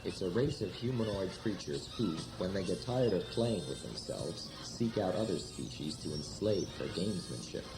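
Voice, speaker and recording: A man's voice: low voice, animated, normal speed, few pauses. A man playing a solemn starship science officer in a parody sketch, grave and mock-serious. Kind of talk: hobby sci-fi parody sketch. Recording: echoey, music underneath.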